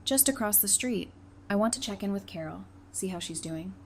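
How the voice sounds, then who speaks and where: A woman playing a caring, gently teasing wife and friend in an audio drama, speaking casually and familiarly. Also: medium pitch, expressive, moderate pace, slight background noise, lightly acted. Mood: teasing, fond.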